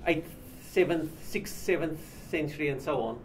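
An older man with a South African accent giving an informal history lecture to a small class, often halting and repeating words as he explains. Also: a little high. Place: small indoor seminar room. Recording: distant mic with light background noise.

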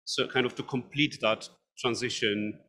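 A man's voice: mid-range voice, steady tone, moderate pace, sharp tone. A young man moderating a conference panel, phrasing his questions hesitantly, standing at a stand microphone on an indoor stage.

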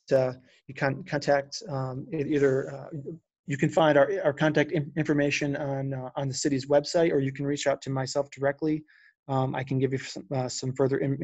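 A man answering questions on a video call, stopping and restarting his sentences often. His voice is gravelly and even in pitch. The sound is dry and close. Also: no room sound. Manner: halting, many restarts and fillers. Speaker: city urban forestry official. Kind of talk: unscripted webinar presentation and Q&A.

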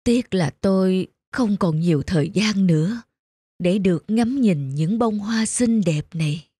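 A woman voicing a frail, gentle old wife in a cartoon, speaking softly and wistfully. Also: mid-range voice, unhurried, slightly rough, no echo. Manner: soft, wistful.

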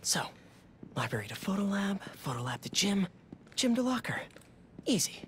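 Game role: earnest, jittery schoolboy, lively pitch swings, hesitant delivery, noisy background. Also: male voice, moderate pace. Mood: anxious, hushed. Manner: hesitant, anxious. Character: nervous, earnest teenage schoolboy sneaking around. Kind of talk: video game acting, muttering to himself.

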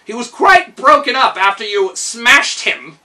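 Man putting on a high, eager gnome steward. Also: big pitch swings, measured pace, pushed and bright, heavily acted. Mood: proud, pleading. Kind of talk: storybook character voice.

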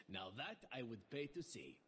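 A suave game elf assassin. His pitch swoops playfully as he purrs and teases, never ruffled. Some noise sits behind the voice. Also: fairly bright tone. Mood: amused, mocking. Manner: teasing, purring, theatrical. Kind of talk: video game character acting.

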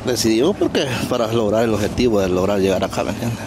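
A man's voice: fairly low pitch, expressive, fast. Hesitant man in his forties. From Honduras. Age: 40s. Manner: hesitant, searching for words, asks "¿entiendes?". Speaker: migrant interviewee. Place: outdoors on gravel ground. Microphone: handheld reporter mic held close. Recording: noisy outdoor field recording.

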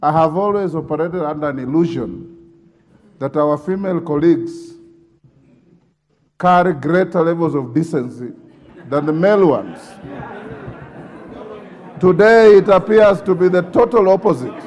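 A man's voice: a little high, lively intonation, a little slow, long pauses, dull audio. A middle-aged man chairing a rowdy parliament session, sternly calling for order and lecturing members. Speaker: parliamentary speaker. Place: large parliament chamber. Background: noisy chamber of shouting members.

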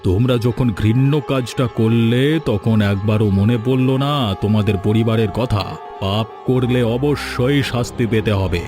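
Casting: man as stern, righteous cartoon village judge, mid-pitched, solemn delivery, faint music behind. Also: a little slow, lightly acted. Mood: stern, judging. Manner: scolding, solemn, emphatic. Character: stern, righteous village elder judging wrongdoers. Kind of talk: Bengali fairy tale cartoon dubbing.

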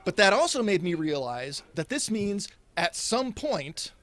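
A man in his thirties doing a deadpan stand-up comedy routine at a stand mic, gesturing as he talks. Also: high voice, a little slow. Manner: deadpan delivery with animated, sweeping hand gestures. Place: indoors, small set in front of a red curtain. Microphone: stand mic in front of him.

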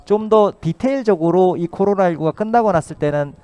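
A man's voice: high-pitched, fairly quick, dark tone, smooth, dry recording. A middle-aged man speaking as a professor on a panel, arguing emphatically with rhetorical questions, into a handheld microphone through a mask. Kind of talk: panel talk, explanatory argument. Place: stage at an expo panel, indoors. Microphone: handheld microphone, speaking through a face mask.

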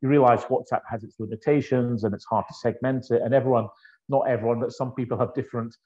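A Scottish man in his fifties from the northeast of Scotland, chatting as a guest over a video call with an earphone mic and telling his story in a relaxed, anecdotal way. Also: mid-range voice, fast talker. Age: 50s. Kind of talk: conversational interview. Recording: dull lo-fi video call audio.

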